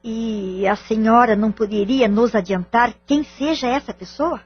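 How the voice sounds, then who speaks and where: Actress as protective mother, fairly high husky female voice, anxious, polite formal wording, audio drama, lo-fi. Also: moderate pace. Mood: worried.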